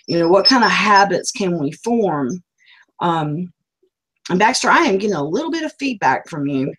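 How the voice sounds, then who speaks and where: A woman's voice: lowish voice, sing-song, moderate pace, a bit bright, slightly rough. A woman hosting a live business stream, rambling casually with many fillers and losing her voice. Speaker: host.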